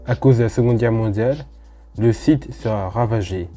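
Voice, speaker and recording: A man's voice: fairly low pitch, moderate pace, warm tone, soft background music. A man from France narrating a railway history video in a casual explanatory way, with informal asides to the viewer. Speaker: host of a railway history channel.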